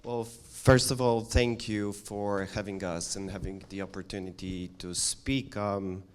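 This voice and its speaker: A man speaks earnestly as a conference panelist, pausing often with fillers. His voice is low and resonant. He weighs each point with care. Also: level tone, smooth. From eastern Poland. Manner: thoughtful, earnest, many fillers. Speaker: journalist and activist panelist. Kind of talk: panel discussion answer.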